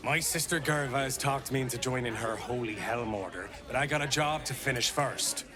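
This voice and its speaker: An actor playing a stubborn, plain-spoken debt enforcer and loyal brother in a video game. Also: male voice, mid-range voice, normal speed, natural voice. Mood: defensive. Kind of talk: video game character acting. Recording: music and crowd behind.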